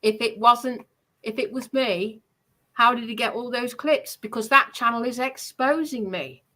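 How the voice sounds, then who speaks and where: A woman's voice: a little high, unhurried, bright, forceful tone. A woman chatting casually and airing grievances, hesitant and repetitive but insistent. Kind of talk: casual chat, recounting personal grievances.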